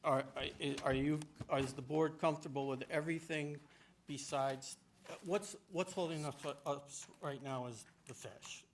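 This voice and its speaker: Guy at a town meeting pushing his case, stumbles and repeats himself, bit of background noise. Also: a little high. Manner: halting, repetitive, insistent. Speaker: permit applicant at a public meeting. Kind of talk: informal pleading at a meeting. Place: indoor municipal meeting room. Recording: noisy town meeting room.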